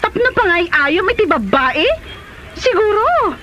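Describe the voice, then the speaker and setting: Casting: actress as feisty wronged wife, extremely high animated voice, Filipino accent, comedy radio drama. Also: female voice, measured pace, heavily acted. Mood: angry, accusing. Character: fed-up wife of a womanizer, feisty and scornful. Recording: dull, noisy audio.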